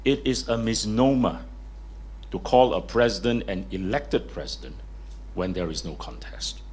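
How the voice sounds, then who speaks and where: A man giving a formal, measured speech at a press conference. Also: on the low side, a little mellow, slightly rough. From Singapore. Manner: measured, formal speaking delivery. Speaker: party leader and lawyer speaking at a press conference. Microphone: speaks without a mic at first.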